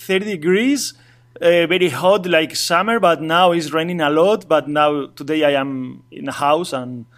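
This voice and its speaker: A man from Asturias with a Spanish accent, speaking halting non-native English as an interview guest, with many restarts and light chuckles. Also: a little high, fairly bright tone. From Spain, Asturias. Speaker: guest, traveling cyclist. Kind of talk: casual remote interview.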